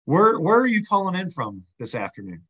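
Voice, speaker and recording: A man co-hosting a podcast, friendly and chatty, his voice a little hoarse. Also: high voice, very animated, brisk, bright, forceful tone. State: slightly hoarse. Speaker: podcast co-host. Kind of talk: casual podcast chat.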